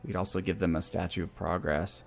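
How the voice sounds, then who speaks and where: A man's voice: low voice, flat delivery, fast, gravelly. A man hosting a video game playthrough, talking casually and thinking aloud as he weighs his options. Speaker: host of a gaming series. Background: background music.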